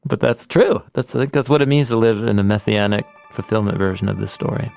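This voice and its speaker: A man with a fairly low voice and a gravelly, fry-tinged edge speaks in a relaxed conversational way. He sounds thoughtful and unhurried, as if thinking out loud with a friend. The recording is close and dry, with soft music underneath. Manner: thoughtful, laughs at his own rhymes. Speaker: podcast co-host, Bible scholar. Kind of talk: casual reflective conversation.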